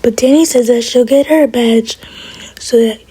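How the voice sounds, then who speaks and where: Whispering woman for an ASMR show recap: dark, mellow tone, wry asides. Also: on the high side, moderate pace, rough recording. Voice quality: whispering, breathy. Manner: wry, gossipy commentary.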